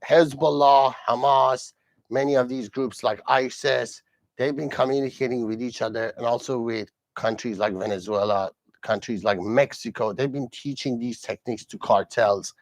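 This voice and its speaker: An earnest man from Iran hosts a solo live commentary, his pitch sitting in an ordinary middle range. He restarts sentences and circles back to repeat key phrases. The sound is dry and close, with no room around it. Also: steady tone, moderate pace, no room sound. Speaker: host.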